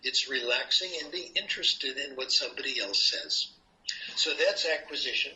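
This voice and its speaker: A man's voice: medium pitch, normal speed. An older man with an American accent, a linguistics professor explaining his ideas in a playful, teaching way to an interviewer. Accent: American English. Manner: playful, lively teaching tone with asides. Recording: dull lo-fi recording.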